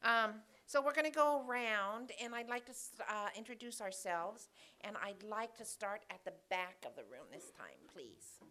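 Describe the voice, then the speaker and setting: A woman chairing a committee meeting in a large room, talking loudly and casually and laughing easily. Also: mid-range voice, lively intonation, moderate pace. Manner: loud, chatty, laughing easily.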